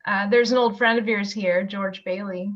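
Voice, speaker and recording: A woman acting a sweet, devoted young small-town sweetheart with gentle delivery in an amateur radio play over a video call. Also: mid-range voice, fairly even intonation, normal speed, close to an everyday voice. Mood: polite, coaxing. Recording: muffled video call audio.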